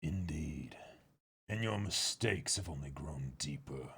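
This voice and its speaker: A man as imperious villain prince, very low and gravelly, controlled menace, dramatic manga reading. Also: lively intonation, exaggerated voice. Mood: cold disdain. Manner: measured, quietly threatening.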